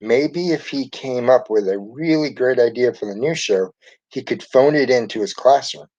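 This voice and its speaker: A man reading a children's storybook aloud in an expressive way. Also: mid-range voice, moderate pace. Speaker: storybook reader. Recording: dull lo-fi recording.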